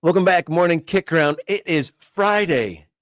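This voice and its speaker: A man's voice: a little high, animated, normal speed, fairly bright tone, faint music behind. A man hosting a casual soccer talk show, teasing his co-host and reading show plugs. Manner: joking, teasing.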